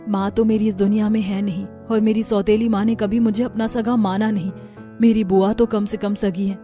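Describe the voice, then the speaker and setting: A woman voicing a meek, lonely, mistreated young stepdaughter in an animated melodrama, with plaintive delivery. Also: mid-range voice, normal speed, faint music behind, natural voice. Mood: hopeful. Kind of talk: Hindi animated family melodrama acting.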